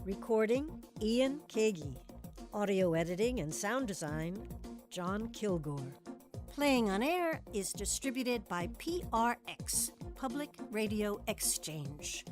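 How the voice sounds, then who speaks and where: A woman hosting an arts radio program, moving between scripted announcements and interview questions. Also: medium pitch.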